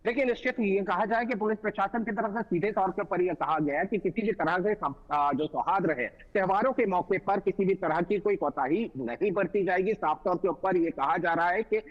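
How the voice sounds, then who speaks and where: A man reporting live as a news correspondent, speaking in formal, wordy and halting phrases. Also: sits high. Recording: dull lo-fi remote link.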